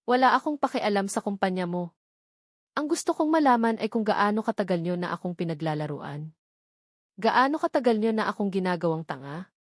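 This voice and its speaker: A woman's voice: mid-range voice, expressive, fairly quick, dry recording, studio quality, close to an everyday voice. A woman playing a betrayed, furious wife in an audio drama, accusing and anguished in an emphatic way. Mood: angry accusing. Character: betrayed, furious wife lashing out at her ex-husband. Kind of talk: Tagalog audio drama acting.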